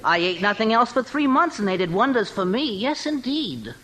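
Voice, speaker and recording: A man's voice: sits high, very animated, moderate pace. An actor playing a smug, prim, precise male relative in a 1950s radio sitcom. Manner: prim, precise, self-satisfied. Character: smug, prim, cheerful brother-in-law. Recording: noisy old 1950s radio recording.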